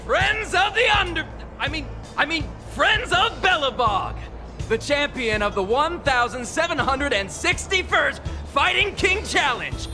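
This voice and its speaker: Casting: hype fight announcer, very high voice, slow drawn-out delivery, music behind. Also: female voice, animated. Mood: hyped. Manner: theatrical, drawn-out shouting. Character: excitable, showy underground fight-club announcer. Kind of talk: video game voice acting.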